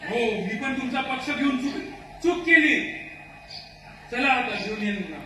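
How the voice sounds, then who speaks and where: Guy acting as tribe guard, regretful, high voice, echoey hall, amateur play. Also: expressive, a little slow, pushed and bright, exaggerated voice. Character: forest-tribe companion, regretful guard of captives. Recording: echoey hall, far from mic.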